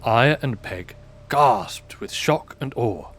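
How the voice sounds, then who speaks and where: A man's voice: mid-range voice, animated, slow, fairly bright tone, slightly rough, faint music behind. A man reading scripted story narration as the narrator of an audio drama.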